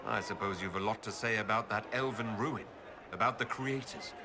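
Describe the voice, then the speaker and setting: A man playing a courteous elf leader in a video game, measured and inquiring. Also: lowish voice, a crowd in the background, natural voice. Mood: composed. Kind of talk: video game character dialogue. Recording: clear background noise and ambience.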